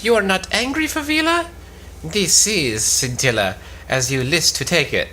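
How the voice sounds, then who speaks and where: A young woman plays a haughty gentlewoman with a sharp tongue. Her delivery is bright and very animated, slightly low for a woman, scolding in Elizabethan prose over faint background noise. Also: unhurried. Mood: scornful. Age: young adult. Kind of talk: cold read of Elizabethan stage comedy.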